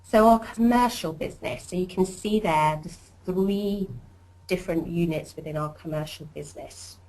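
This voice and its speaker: A woman hosting a corporate webinar as programme director, presenting warmly and chattily with frequent ums and uhs. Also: lowish voice, moderate pace, rough recording.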